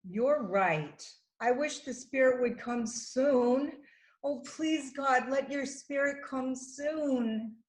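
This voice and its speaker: A woman acting as a devoted, hopeful disciple of Jesus in a church dramatic reading, earnest and measured. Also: high voice. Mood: longing.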